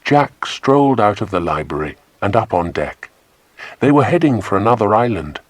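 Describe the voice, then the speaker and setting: A man's voice: on the low side, moderate pace, dry recording. A man narrating a children's adventure audiobook expressively, close on a studio microphone. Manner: expressive storytelling. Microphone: close, dry studio microphone.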